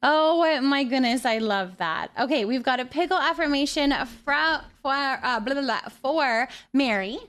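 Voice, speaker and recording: Woman, fairly high voice, casual stream host, affectionate, sympathetic, chatting with viewers. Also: animated, unhurried, few pauses, a bit bright. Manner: affectionate, addresses listeners with endearments. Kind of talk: casual live stream chat, reading out viewer messages.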